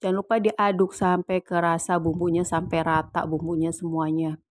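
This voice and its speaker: A woman, a cooking channel host in a home kitchen, talking through a recipe in playful joking banter, her voice a bit hoarse from a sore throat. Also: lowish voice, flat delivery, normal speed, no echo, studio quality. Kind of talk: casual cooking tutorial chat.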